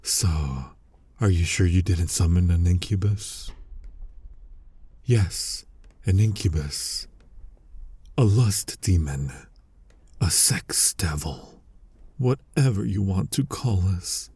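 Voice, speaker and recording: A man playing a flirty, exasperated incubus demon in an intimate ASMR roleplay, husky and breathy with a teasing, sardonic manner, often slipping toward a whisper. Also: low voice, expressive, dark tone, no room sound, heavily acted. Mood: embarrassed, wry. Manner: slow, teasing, sardonic delivery. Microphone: close, dry studio-style microphone.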